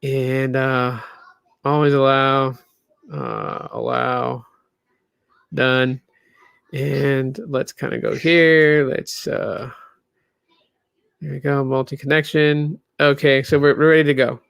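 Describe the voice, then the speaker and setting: A man in his late thirties with a gravelly, fried edge, chatting loosely and tiredly to his live stream viewers from a small room in his new home. The recording is dry, with almost no room sound. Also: medium pitch, takes it slowly, long pauses, fairly bright tone, dry recording. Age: 30s. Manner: rambling, loose, lots of fillers. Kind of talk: casual live stream chat.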